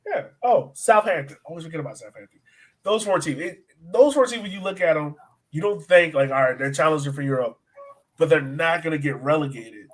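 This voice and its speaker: Man, slightly high voice, podcast host, casual sports discussion, informal, emphatic repetition. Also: expressive, brisk, husky, poor audio.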